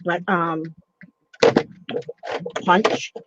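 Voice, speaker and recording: Slow-talking woman with a dull, lo-fi sound. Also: on the low side, steady tone, heavy background noise. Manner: rambling, greets viewers by name, many ums. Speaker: livestream host. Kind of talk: casual chat.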